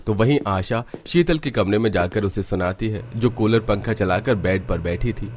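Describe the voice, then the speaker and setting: A man with a fairly low voice narrates a cartoon story from a script. He works as the storyteller between the characters' scenes. There is faint noise behind him. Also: moderate pace, darkish tone.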